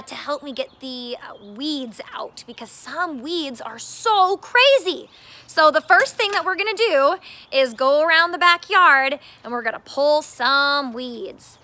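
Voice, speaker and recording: A woman's voice: sits high, bright, forceful tone. A woman teacher giving children an upbeat read-aloud and gardening demonstration in simple terms.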